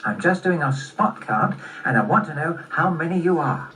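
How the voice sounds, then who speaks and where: A woman's voice: sits low, expressive, normal speed, fairly bright tone, voice pushed up. A woman voicing a friendly, helpful animal hero in a children's story, with a polite, earnest manner. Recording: roomy, distant, faintly noisy recording.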